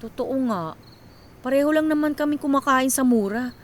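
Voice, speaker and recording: An actress playing a tired, generous young Filipino breadwinner daughter in a radio drama, with a wry, teasing manner. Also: female voice, high-pitched, moderate pace, natural voice. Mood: practical. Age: young adult. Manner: teasing, self-deprecating.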